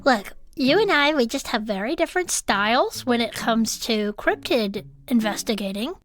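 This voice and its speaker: A woman playing an enthusiastic, toothless turtle-folk cryptid investigator who muses gently and is eager to believe, in conversational audio fiction. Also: on the high side, animated, normal speed, natural voice. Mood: curious, agreeable.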